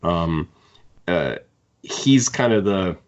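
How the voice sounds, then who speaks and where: A man co-hosting a podcast, talking through books casually in a halting, thinking-aloud way. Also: low voice, expressive, dull audio. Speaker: podcast co-host. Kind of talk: casual book discussion.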